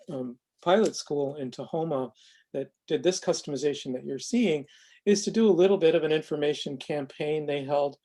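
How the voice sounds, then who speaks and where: A man presenting a software demonstration in an informal, unscripted way, explaining carefully and haltingly with self-corrections. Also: fairly high pitch. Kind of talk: informal narrated screen demo, unscripted explanation.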